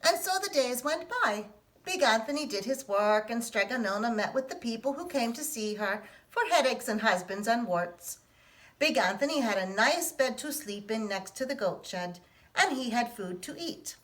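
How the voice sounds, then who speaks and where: Bright-voiced woman reading a picture book. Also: fairly high pitch, normal speed, rough recording. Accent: put-on Italian. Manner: lively, expressive storytelling.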